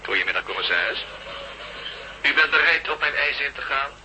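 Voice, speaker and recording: Cold villain on a sharp phone line. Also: male voice, mid-range voice, lively intonation, fairly quick. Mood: commanding. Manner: curt, controlled, menacing. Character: cold, commanding villain kidnapper phoning in demands. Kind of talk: radio drama acting. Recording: voice over telephone line, faint noise.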